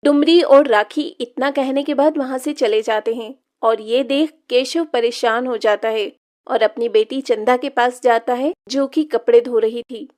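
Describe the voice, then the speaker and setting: A woman serves as narrator of a scripted story. Her voice is high-pitched as she links one scene to the next. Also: fairly even intonation, unhurried, a little mellow.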